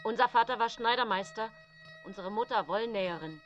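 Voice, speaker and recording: Actress playing haunted woman prisoner, radio play monologue, confiding, deliberate, calculating, self-justifying, sometimes whispered. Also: fairly high pitch, highs cut off, faint music behind, natural voice. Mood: composed, formal.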